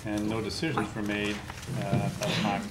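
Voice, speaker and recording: A man's voice: fairly low pitch, monotone, moderate pace. A man chairing a council meeting, speaking in formal procedural style. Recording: very loud background noise.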